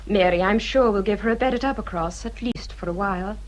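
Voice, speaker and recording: An actress playing a haughty but deferential grown daughter of English gentry in a period radio drama, speaking formally and persuasively. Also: female voice, medium pitch, a little fast, natural voice, low-quality recording. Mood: dismissive.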